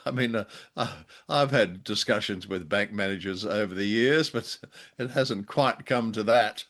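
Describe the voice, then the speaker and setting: A man co-hosting a podcast, reviewing radio drama in a halting, measured way with dry understatement. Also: on the low side, a bit bright. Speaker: podcast co-host.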